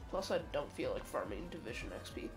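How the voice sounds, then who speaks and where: A young man streaming a game, chatting casually in slang with a croaky voice. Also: mid-range voice, very animated, a little fast. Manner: laid-back slangy chatter, swearing. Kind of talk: casual gameplay commentary. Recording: loud game music behind voice. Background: game music.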